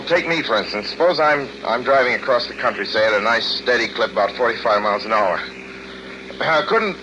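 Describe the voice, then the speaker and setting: A man's voice: medium pitch, natural voice. An actor playing a haunted, increasingly frantic driver in 1940s radio suspense, earnest and intense in his dramatic delivery. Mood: uneasy, probing. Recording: noisy, dull 1940s radio recording.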